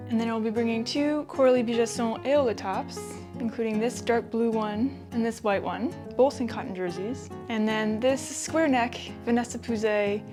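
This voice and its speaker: An American woman in her thirties from the East Coast, chatting casually and excitedly to viewers as a vlogger. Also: a little high, moderate pace, hardly any pauses, over music. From Connecticut, US East Coast. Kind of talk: casual vlog talk to viewers.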